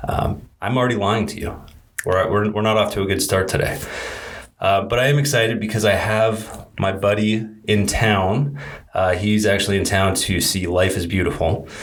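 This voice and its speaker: A man's voice: low voice, moderate pace, fairly bright tone. A young man hosting a podcast in casual chat, joking and laughing with his guest. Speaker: podcast host.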